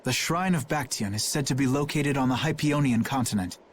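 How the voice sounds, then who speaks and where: An actor playing an earnest, dutiful young knight captain in a video game, speaking formally and with solemn restraint. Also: male voice, medium pitch, brisk, slight background noise, close to an everyday voice. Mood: explaining. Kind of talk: English video game voice acting.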